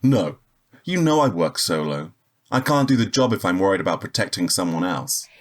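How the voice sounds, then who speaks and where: A deep-voiced male secret agent of the spaceways, cocky and world-weary. He speaks with deadpan, sardonic wit, like the lone hero of a noir-tinged sci-fi radio drama. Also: normal speed. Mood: indignant. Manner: wry, deadpan. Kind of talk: noir-style narration and dialogue in sci-fi audio drama.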